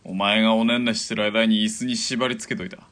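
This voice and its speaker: An actor playing a hot-tempered, mocking killer and ex-gang boss in an audio drama, with rough slangy speech. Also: male voice, fairly low pitch, fairly even intonation, fairly quick, fairly bright tone, close to an everyday voice. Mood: exasperated.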